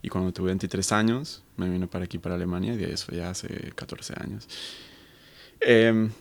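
Colombian-born guy in his 30s, deep gravelly voice, thinks out loud on a radio chat. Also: fairly even intonation, moderate pace. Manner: thoughtful, hesitant, many fillers. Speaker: guest researcher. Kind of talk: radio interview answers.

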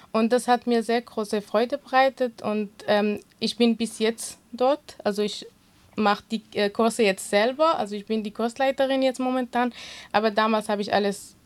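A woman with a Kurdish accent, Kurdish her first language, answering interview questions in an earnest, halting way with many fillers. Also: on the high side. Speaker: interview guest, swimming instructor.

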